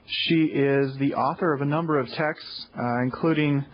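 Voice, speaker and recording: A man's voice: mid-range voice, fairly even intonation, normal speed, slight background noise. A man whose first language is Portuguese introduces a speaker formally, tripping over words and correcting himself. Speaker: event host introducing a speaker. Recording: dull, lo-fi lecture recording.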